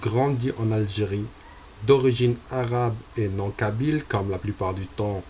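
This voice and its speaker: Homme, 20s, from Algeria, unhurried testimony, hesitant, measured. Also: male voice, fairly low pitch, darkish tone, a little hiss or hum. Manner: slow and deliberate, with frequent hesitations. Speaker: convert giving a testimony. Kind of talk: personal religious testimony.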